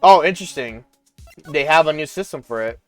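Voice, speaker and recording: Hoarse streamer muttering game asides. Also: mid-range voice, animated, fairly quick, soft background music. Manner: offhand asides between game lines. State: losing voice, strained. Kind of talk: casual live game playthrough commentary.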